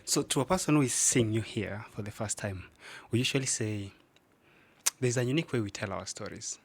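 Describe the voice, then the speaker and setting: A man hosting an interview, asking measured questions. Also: fairly low pitch, slightly rough. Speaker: host.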